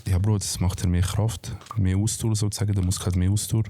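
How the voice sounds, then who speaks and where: A low-voiced man with a dark, relaxed tone and a Swiss German accent talks casually as a guest. He has a slight lisp and speaks in blunt, informal slang. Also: fast, slight background noise. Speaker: fitness talk guest. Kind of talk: casual chat.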